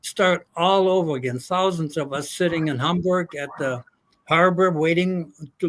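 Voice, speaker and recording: An older man from Germany telling his life story, halting with many fillers. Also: fairly high pitch, a bit bright. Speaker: guest. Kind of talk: casual storytelling.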